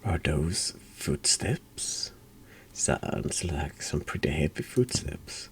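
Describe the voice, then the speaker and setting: A man reading a horror game aloud in ASMR style, hushed and often slipping into a whisper. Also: extremely low pitch, lively intonation, slow, slight background noise. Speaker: horror game streamer, narrator.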